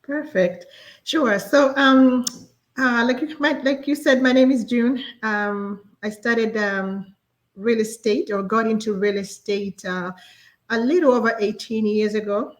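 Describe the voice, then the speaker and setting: A woman's voice: on the high side, steady tone, measured pace. A woman in her forties with a Kenyan accent, telling her story as an interview guest in a polite, conversational way. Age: 40s. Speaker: interview guest, real estate agent.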